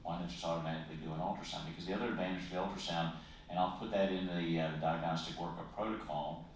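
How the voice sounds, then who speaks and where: A man's voice: low-pitched, fairly even intonation, very fast, a little hiss or hum. A man presenter answering audience questions, talkative and explanatory. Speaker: presenting speaker. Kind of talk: conference Q&A answer. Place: large conference hall. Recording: echoey hall, distant mic.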